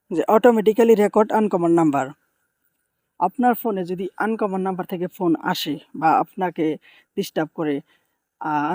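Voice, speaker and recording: Man, high voice, mellow tone, tutorial host, instructional and repetitive, close dry recording. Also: no room sound. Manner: instructional, repeats phrases for emphasis. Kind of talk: step-by-step phone tutorial narration.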